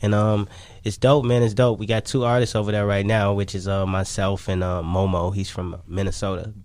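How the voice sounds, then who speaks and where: Casting: male interview guest, lowish voice, relaxed slangy phrasing, tight dry studio sound. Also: a little fast, no echo. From Chicago, USA. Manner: easygoing, slangy, repeats "kinda like". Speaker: guest, independent music artist. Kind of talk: casual radio interview chat.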